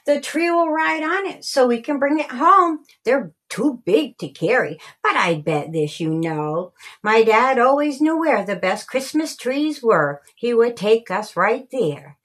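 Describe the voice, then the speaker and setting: A woman narrating a rhyming children's story in a deliberate, singsong storytelling manner. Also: fairly high pitch, expressive, a bit bright, breathy edge. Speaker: author reading own story.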